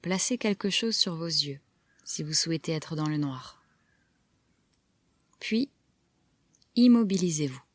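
Female meditation guide, low register, unhurried and soft, calm instructions with pauses, very dry close sound. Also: no echo. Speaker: yoga nidra guide. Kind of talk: guided relaxation meditation narration.

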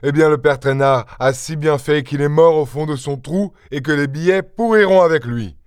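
A man voicing an excitable, anxious and blustering peasant farmer in a storybook reading, exclaiming and insisting. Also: fairly high pitch, normal speed, clear, resonant tone, dry recording, clean studio sound, pitched above the performer's own voice, natural voice. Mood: scornful, exasperated. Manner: exclamatory, insistent, stammering when alarmed.

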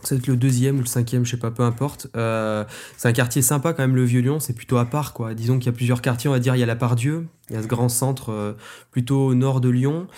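A man's voice: medium pitch, fairly even intonation, quick pace, warm tone. A man in his twenties from Auvergne, co-hosting a podcast in a loose, joking chat full of fillers. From Auvergne, France. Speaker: podcast co-host. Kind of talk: casual chat.

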